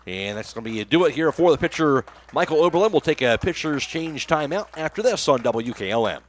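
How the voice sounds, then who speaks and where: A man's voice: on the high side, animated, a little fast. A man doing live play-by-play baseball commentary for a radio broadcast. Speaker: play-by-play announcer.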